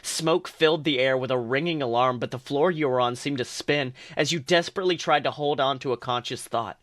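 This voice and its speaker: A man's voice: mid-range voice, fairly quick, fairly bright tone, dry recording, studio quality. A man co-hosting a podcast, reading second-person fan fiction aloud earnestly, with small stumbles and restarts.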